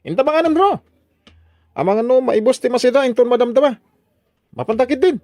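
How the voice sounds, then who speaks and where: A man's voice: very high voice, fairly quick, a little mellow, no room sound, clean studio sound. A man playing a teasing office friend in a drama serial, with an Ilocano Filipino accent. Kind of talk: Ilocano drama series voice acting.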